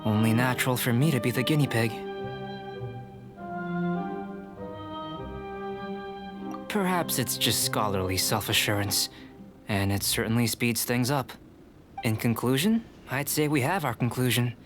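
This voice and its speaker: Man voicing a cool young alchemist, measured, lecturing, game music underneath. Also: mid-range voice, lively intonation, fairly bright tone, natural voice. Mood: composed. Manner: measured, lecturing, coolly confident. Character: calm young male alchemist scholar, composed and aloof. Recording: studio game voice with music behind.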